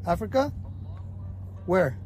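Over clear outdoor noise and vehicle sounds, a man with a high voice asks short, repeated questions. He is polite and businesslike, like someone working down a line of people. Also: very animated, takes it slowly. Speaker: interviewer questioning migrants. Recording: noisy outdoor field clip with traffic.

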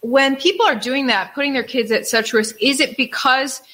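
A woman's voice: sits high, moderate pace, pushed and bright. A woman co-hosting a casual talk show, bringing up news stories and chatting with frequent fillers. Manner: conversational, with frequent fillers when speaking off the cuff. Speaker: co-host. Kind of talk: casual talk, reading a news story aloud.

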